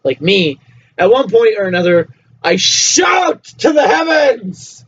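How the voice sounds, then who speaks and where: A young man hosting a casual podcast with a friend, joking around and breaking into loud shouts and laughter. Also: high voice, very animated, slow pace, slightly rough. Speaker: podcast host. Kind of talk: casual chat. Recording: dull lo-fi home recording with faint hiss.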